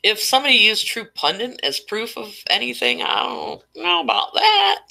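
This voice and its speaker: Woman, stuffed-up nose, fading voice, bright tone, rambling live stream chatter. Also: fairly high pitch, sing-song, normal speed, vocal fry, low-quality recording. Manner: rambling, chatty, reading chat names aloud. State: stuffed up and losing voice. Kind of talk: casual live stream chat.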